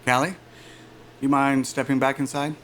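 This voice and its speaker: A man playing a breezy corporate recruiter in an audio drama, with a casual, smooth way of talking. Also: mid-range voice, little pitch movement, normal speed, a bit bright, a little hiss or hum, natural voice. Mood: businesslike. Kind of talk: cinematic audio drama acting.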